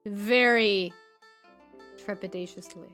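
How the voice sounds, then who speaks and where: A woman's voice: mid-range voice, slow, fairly bright tone. Casual woman playing a tabletop game. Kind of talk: casual chat during a game. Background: faint game music.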